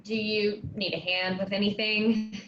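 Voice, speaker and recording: A woman's voice: mid-range voice, flat delivery, measured pace, fairly bright tone, natural voice. A woman playing an eager young girl who is keen to help and belong, in a staged play reading. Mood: eager, persistent. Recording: roomy, noisy, muffled sound.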